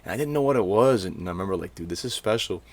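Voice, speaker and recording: Deep-voiced young podcast host. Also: male voice, expressive, racing, darkish tone. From Maine, USA. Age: 20s. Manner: slangy, loose, peppered with "like" and "yo". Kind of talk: casual interview chat.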